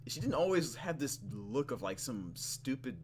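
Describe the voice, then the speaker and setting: Casting: playful male let's-player, lively swings in pitch, narrates game text and reacts casually, over clear background noise. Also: moderate pace. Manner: playful, expressive, acts out reactions. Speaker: gaming channel host. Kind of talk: let's play, reading story text aloud with casual commentary.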